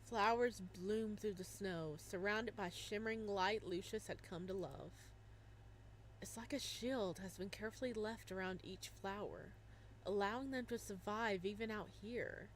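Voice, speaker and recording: A young woman narrates a visual novel at a middle pitch, then bursts into animated, laughing asides about what she sees. Her reading is casual and friendly, switching freely between the story text and her own excited comments. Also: measured pace, slight background noise, low-quality recording.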